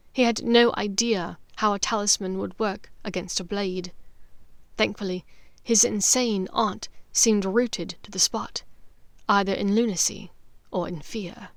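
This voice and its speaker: A woman's voice: medium pitch, a bit bright, dry recording. A woman reading dramatic fiction as an audiobook narrator.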